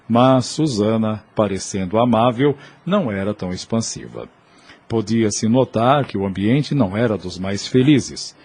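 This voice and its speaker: A man's voice: mid-range voice, darkish tone, husky. A man with a Brazilian accent giving scripted, dramatic narration for an audio drama.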